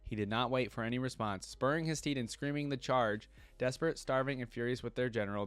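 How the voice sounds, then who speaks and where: A man's voice: mid-range voice, dry recording. A man hosting a reaction video, reading lore aloud in a casual way with musing asides. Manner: musing asides between read-aloud passages.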